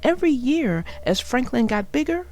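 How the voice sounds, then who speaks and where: A woman narrating a children's storybook aloud with deliberate, expressive phrasing for young listeners. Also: high voice, a little hiss or hum.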